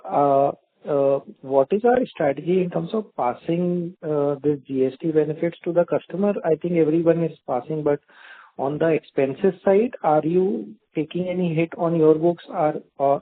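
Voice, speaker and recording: A man's voice: on the high side, moderate pace. A man with an Indian accent politely asking questions as an analyst on a conference call. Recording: thin phone line.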